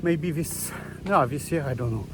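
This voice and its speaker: A man from Belgium with a Belgian accent, talking to camera in a casual travel vlog, eager and chatty in halting non-native English, outdoors on rural hills. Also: fairly high pitch, background noise. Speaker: travel vlogger.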